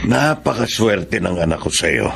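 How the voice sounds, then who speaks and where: A man's voice: low voice, expressive, measured pace, vocal fry, background noise, exaggerated voice. An actor with a Filipino accent playing a kindly, apologetic father of a grown son in a radio drama. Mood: sympathetic.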